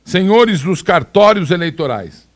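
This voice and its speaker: A man giving opinionated commentary, slowing down to stress his points with emphatic, deliberate delivery. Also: high-pitched, animated, dry recording, studio quality. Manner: emphatic, slows down to stress words. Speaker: commentator presenting video clips.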